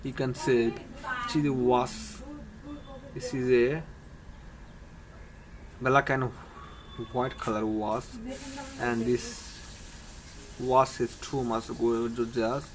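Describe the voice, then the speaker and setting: A man with a Bangladeshi accent coaches beginners in spoken English, speaking slowly and in a flat, even tone. His phrasing is earnest and halting, full of restarts and broken grammar. A faint background hum sits under his voice. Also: medium pitch, rough recording. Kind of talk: informal English lesson to camera.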